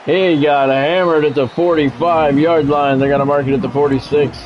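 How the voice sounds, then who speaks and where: Male sports commentator, voice a touch high, radio-style calls with offhand asides, lo-fi sound. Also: steady tone, moderate pace. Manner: radio-announcer phrasing with casual asides. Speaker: streamer doing play-by-play. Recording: dull, lo-fi audio with music behind.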